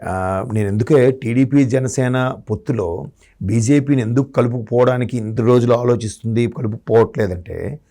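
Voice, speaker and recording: A man's voice: mid-range voice, normal speed, warm tone. A man giving political commentary in a discussion, arguing his points through rhetorical questions. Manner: argumentative, asks rhetorical questions.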